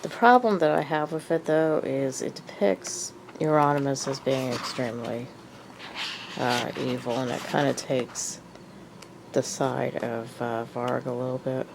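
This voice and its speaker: A woman's voice: rumbling low, a little slow, creaky, noisy background. A woman with a cold, sniffly and hesitant, talking casually in a show-and-tell vlog with lots of ums and uhs. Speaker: vlogger.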